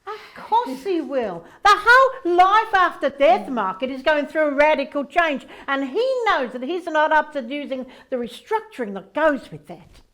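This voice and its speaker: An actress plays a female angel executive in a stage comedy, corporate-minded and fond of gossip. Her voice is very high and swings widely in pitch, with gushing exclamations between the boardroom phrases. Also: animated, moderate pace, few pauses, sharp tone. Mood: businesslike, assured. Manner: gushing, exclamatory, business jargon. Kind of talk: comedy stage play acting.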